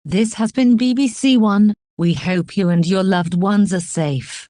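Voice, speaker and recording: A woman reading a scripted emergency broadcast as the announcer, in a formal, measured manner. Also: mid-range voice, mellow, no room sound, studio quality.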